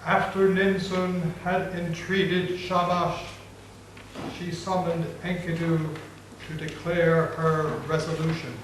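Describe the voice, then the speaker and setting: A man narrating an ancient epic as a dramatic reading, solemn and measured. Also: a little high, completely flat delivery. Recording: very echoey, distant, noisy room recording.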